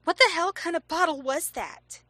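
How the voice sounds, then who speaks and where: A woman's voice: very high voice, lively intonation, moderate pace, sharp tone, dry recording, exaggerated voice. A woman playing an uneasy young heroine in a horror audio drama, speaking hesitantly. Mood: nervous.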